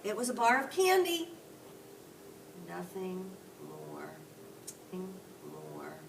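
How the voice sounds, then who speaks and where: A woman storyteller with a mid-pitched voice reads to a child, expressive and chatty. She is a little away from the mic, with clear noise behind her. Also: takes it slowly. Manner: prompting with questions. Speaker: read-aloud storyteller. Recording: distant mic, clearly noisy room.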